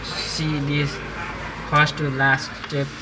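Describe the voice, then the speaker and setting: A young Nepali-speaking man walks through a phone repair in hesitant, careful English. He speaks slowly in an even, unvaried tone, over loud noise. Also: on the high side. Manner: halting non-native English, step-by-step instructions. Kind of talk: repair tutorial.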